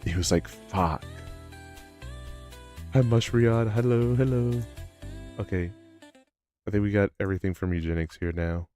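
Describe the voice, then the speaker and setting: A man with a low voice chatting casually on a live stream, rambling and self-deprecating. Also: unhurried, long pauses, dark tone. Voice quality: low-pitched voice. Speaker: livestreamer. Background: background music.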